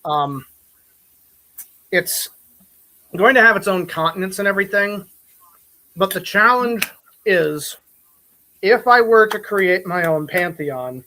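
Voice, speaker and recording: A man's voice: high-pitched, lively intonation, slow pace, pauses often, pushed and bright, poor audio. A man casually talking with friends as host, explaining his thoughts in a hesitant, considered way. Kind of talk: casual group chat.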